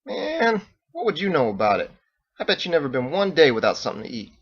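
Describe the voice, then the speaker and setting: A man's voice: fairly high pitch, huge pitch swings, fast talker, breathy edge, muffled recording, pitched above the performer's own voice, exaggerated voice. A man voicing a brash, touchy, boastful male cage fighter in an audiobook, talking in emphatic slang. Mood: indignant. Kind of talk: character voice in audiobook narration.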